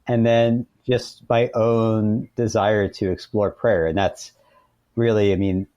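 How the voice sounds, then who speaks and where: A man's voice: lowish voice, little pitch movement, takes it slowly, warm tone, no room sound, highs cut off. A man speaking as an author guest in a reflective, conversational interview.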